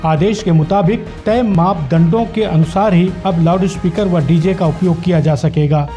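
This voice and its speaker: A man reading a scripted news report in a formal, measured reporter's delivery. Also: high-pitched, warm tone, faint music behind.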